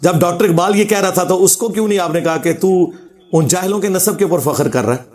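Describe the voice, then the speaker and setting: A man's voice: sits high, fast, darkish tone. A man with a Pakistani Punjabi accent giving an informal religious lecture, argumentative and sardonic, quoting scripture as he goes.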